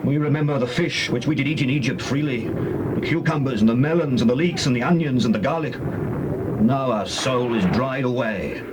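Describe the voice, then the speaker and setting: A hungry, discontented man of ancient Israel played by an actor complains and yearns in a plaintive voice. It is a mid-pitched scripture drama performance under very heavy noise. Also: a little fast, lightly acted. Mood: bitter yearning. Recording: very noisy old tape.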